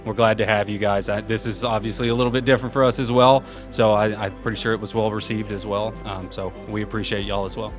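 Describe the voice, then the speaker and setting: Low-voiced man, casual interview guest over music. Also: level tone, fast, hardly any pauses. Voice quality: loud, carrying voice. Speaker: interview guest, senior program manager. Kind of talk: casual conversational interview answers. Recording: music playing behind the voice.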